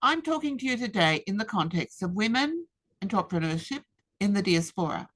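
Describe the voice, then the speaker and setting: A woman, giving a rehearsed webinar presentation in a measured, deliberate way with emphatic pauses. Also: mid-range voice, big pitch swings, a bit bright. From Australia. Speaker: speaker. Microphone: webinar computer mic.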